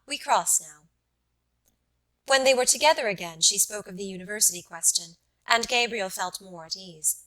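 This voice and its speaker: Bright-voiced woman narrating a literary audiobook. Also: medium pitch, low-quality recording.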